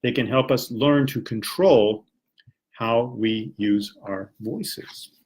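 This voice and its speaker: A man's voice: mid-range voice, slow pace, a little mellow. A young man with a General American accent from Washington, D.C., teaching English on a live stream in a friendly, chatty way with many rhetorical questions. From Washington, D.C., United States.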